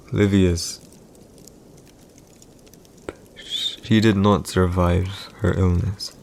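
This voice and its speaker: A man playing a proud, calculating villain emperor in an audio roleplay, speaking in a measured, commanding way with deliberate pauses. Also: low voice, vocal fry, slight background noise, exaggerated voice. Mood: somber, hesitant. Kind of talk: scripted boyfriend audio roleplay, slow dramatic monologue.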